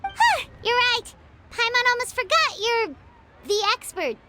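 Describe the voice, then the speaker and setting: An actress voicing Paimon, a small, excitable fairy companion who talks about herself in the third person, in a video game. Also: female voice, a little slow, very noisy, heavily acted. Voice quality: high, squeaky. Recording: soft music behind.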